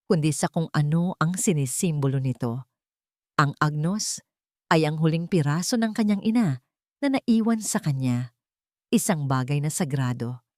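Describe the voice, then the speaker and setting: Close dry Filipino woman storyteller, lowish voice. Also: warm tone, no room sound. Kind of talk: scripted dramatic story narration.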